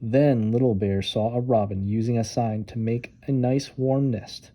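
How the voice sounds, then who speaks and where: A man narrating a children's storybook with expressive, dramatic emphasis on key words. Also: mid-range voice, a little slow. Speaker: storytime reader.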